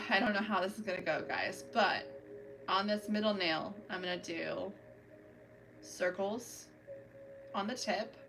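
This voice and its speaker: A woman's voice: mid-range voice, a little slow, long pauses, far from the mic, background noise. Chatty woman streaming her nail art. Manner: chatty, self-narrating, talks to chat while working. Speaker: livestream host doing nail art. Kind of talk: casual chat with live viewers. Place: home, doing a manicure.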